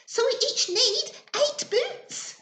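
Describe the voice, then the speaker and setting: A woman voicing an excitable, earnest little girl spider in a children's storybook. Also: extremely high pitch, takes it slowly, voice pushed up, exaggerated voice. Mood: excited. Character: eager little girl spider who loves counting. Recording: echoey room sound.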